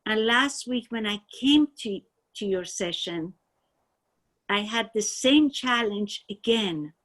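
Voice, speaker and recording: A woman with a Persian accent who shares a personal story in a halting, earnest way, with many restarts, as a class participant. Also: mid-range voice, lively intonation, a bit bright. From Iran. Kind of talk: personal testimony.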